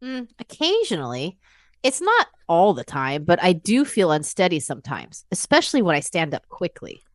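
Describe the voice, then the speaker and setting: A woman acts out a worried female patient in her mid-fifties. Her voice sits a little low but swings expressively as she earnestly describes things in a conversational way. The recording is dry and free of room sound. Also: wildly swooping pitch, normal speed, no echo. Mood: concerned. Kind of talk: acted medical consultation for exam practice.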